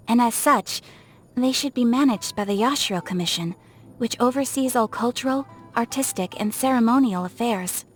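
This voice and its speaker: Woman acting as a young samurai clan lady from a game, highish voice, super polite n proper, reserved, music behind. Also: natural voice. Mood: formal, measured. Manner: formal, polite, poised. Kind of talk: scripted game character voice lines.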